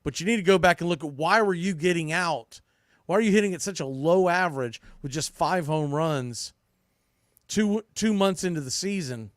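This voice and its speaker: Guy doing baseball podcast takes, kinda higher voice, dry close sound, blunt and emphatic, repeats himself. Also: normal speed, no echo. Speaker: sports podcast host. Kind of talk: opinionated baseball commentary, unscripted.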